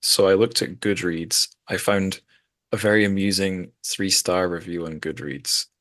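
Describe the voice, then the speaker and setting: Male podcast host, deep register, wry and discursive, casual discussion with some hesitant phrasing. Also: steady tone, a little slow, fairly bright tone, no echo.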